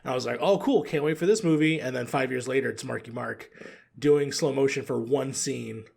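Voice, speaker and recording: A man with a raspy, creaky voice talks quickly on a podcast panel. He tells anecdotes casually, like an animated storyteller. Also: mid-range voice.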